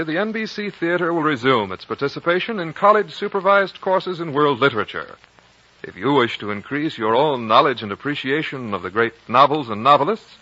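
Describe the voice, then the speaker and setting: Bright, higher-voiced American radio announcer, male. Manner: polished, formal. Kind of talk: formal 1950s scripted radio announcing. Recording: dull vintage radio broadcast.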